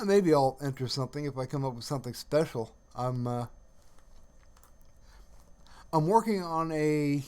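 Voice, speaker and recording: Halting, rambling man chatting on a livestream. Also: mid-range voice, a little mellow. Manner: halting, rambling, searching for words. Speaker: live streamer. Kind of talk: casual livestream chat.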